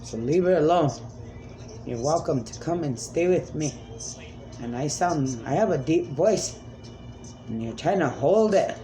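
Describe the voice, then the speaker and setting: A woman's voice: deep voice, animated, unhurried, long pauses, dark tone, faint music behind. A woman speaking as a self-described spirit medium in a rambling, emphatic monologue, pleading and repeating commands.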